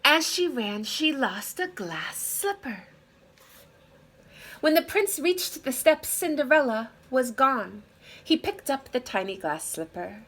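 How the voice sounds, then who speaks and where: Animated woman storyteller with a bright voice. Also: high voice. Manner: deliberate, expressive phrasing for children. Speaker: read-aloud storyteller. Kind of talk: expressive children's story narration. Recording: clear background noise.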